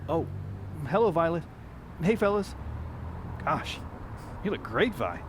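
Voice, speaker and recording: Male actor as restless young dreamer, radio drama. Wry, halting, very animated pitch. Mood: awkward, friendly. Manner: hesitant, halting, folksy. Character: restless young man, sardonic, kindhearted, flustered suitor. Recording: faint background noise.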